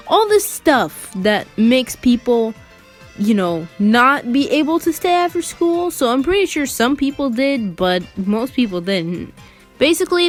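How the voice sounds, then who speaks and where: A teenage girl telling a personal story in a casual, rambling, conversational way with lots of filler words. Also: medium pitch. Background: background music.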